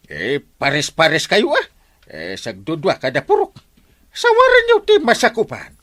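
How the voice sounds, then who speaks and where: A flustered Ilocano village captain in a comedy radio play. He stammers and whines, breaking into wailing exclamations, his pitch leaping wildly. The sound is close and dry. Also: wildly swooping pitch, a little slow, fairly bright tone, no echo, exaggerated voice. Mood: flustered, grumbling. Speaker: comic radio drama actor. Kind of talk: broad comedy radio drama acting.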